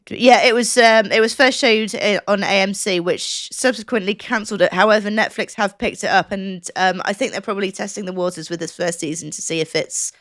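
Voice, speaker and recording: A woman's voice: medium pitch, steady tone, brisk, few pauses, fairly bright tone, no echo. A woman co-hosting a podcast in casual chat, talking in a rambling, hesitant, conversational way. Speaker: podcast co-host. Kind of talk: casual chat, reviewing what she has watched.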